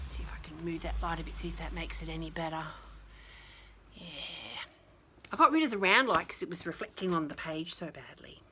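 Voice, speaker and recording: A woman with an Australian accent reading a picture book to young children, adding chatty, affectionate asides in an expressive way. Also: low-pitched, a little fast, slight background noise. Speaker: children's storyteller and teacher.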